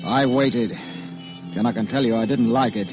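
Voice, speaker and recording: A man's voice: mid-range voice, unhurried, natural voice. An actor playing a tense, suspicious sea captain who bluntly tells his story in an old radio drama. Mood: grim, suspenseful. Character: gruff, wary sea captain narrating his tense tale. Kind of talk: 1940s radio drama acting. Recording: muffled old radio broadcast with music.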